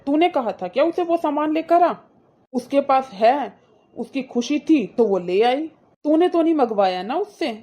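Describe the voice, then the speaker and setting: A woman's voice: fairly high pitch, mellow. A woman voicing an indignant, protective brother in a cartoon moral story, scolding his wife with insistent, reproachful questions.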